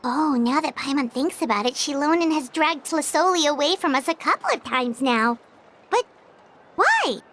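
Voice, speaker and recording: A woman voicing a small, excitable, childlike fairy companion in a video game, exclamatory and talking about herself in the third person. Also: sits high, moderate pace, slight background noise. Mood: puzzled.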